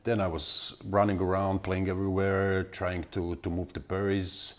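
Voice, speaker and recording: A man in his forties from Serbia with a Serbian accent, answering interview questions in halting speech full of fillers and restarts. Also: low voice, little pitch movement. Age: 40s. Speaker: guest musician.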